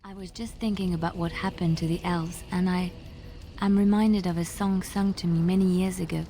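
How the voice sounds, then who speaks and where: An actress as mellow, gentle bard woman. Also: fairly low pitch, little pitch movement, moderate pace, faint music behind, lightly acted. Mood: wistful, tender. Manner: soft, reflective, earnest. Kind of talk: dramatic video game dialogue.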